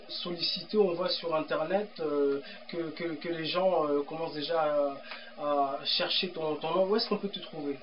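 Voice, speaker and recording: An interviewer asking questions in a studio, halting and filled with hesitations. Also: medium pitch, highs cut off, a little hiss or hum.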